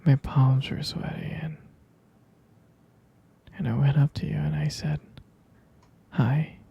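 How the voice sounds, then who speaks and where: A man playing a tender, doting boyfriend in a whispered ASMR roleplay, husky and breathy, speaking gently and hesitantly close to the mic. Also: a little high, level tone, takes it slowly, dull audio, full character voice. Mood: shyly nostalgic. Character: tender doting young boyfriend soothing his sweetheart to sleep.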